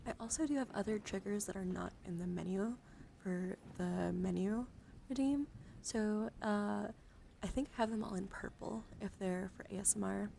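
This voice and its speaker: A soft-spoken woman live streamer doing a casual ASMR card show-and-tell, chatting and often dropping into a whisper. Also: mid-range voice, moderate pace, vocal fry, hardly any pauses, a little hiss or hum.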